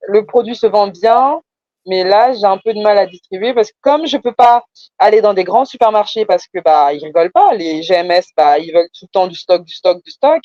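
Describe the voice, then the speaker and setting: A woman's voice: mid-range voice, lively intonation, fairly quick, mellow, no echo, lo-fi sound. A woman from France with a Parisian accent, explaining her business conversationally, often hesitating and repeating words for emphasis. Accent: Parisian French. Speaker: entrepreneur being coached.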